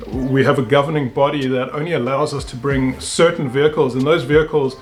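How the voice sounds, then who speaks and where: A man's voice: mid-range voice, steady tone, a little fast, faint music behind. A South African man in his thirties chatting casually about cars as a host. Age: 30s. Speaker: game show host and car YouTuber.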